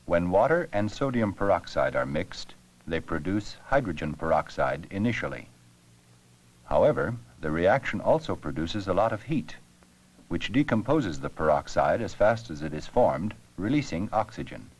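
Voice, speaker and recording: A man narrating an educational science film with scripted, measured, explanatory delivery. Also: low voice, sing-song.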